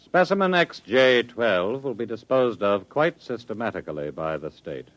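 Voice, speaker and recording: An actor playing a pompous, menacing state official, haughty and coldly sardonic, in a 1950s radio drama. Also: male voice, mid-range voice, expressive, moderate pace, no echo, close to an everyday voice. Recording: noisy old 1950s radio recording.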